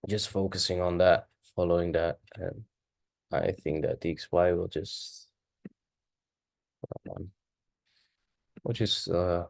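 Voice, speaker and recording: A man whose first language is Dutch, casually thinking aloud through a chart walkthrough, halting and correcting himself as he goes. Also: sits low, level tone, gravelly, no room sound. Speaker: forex trader.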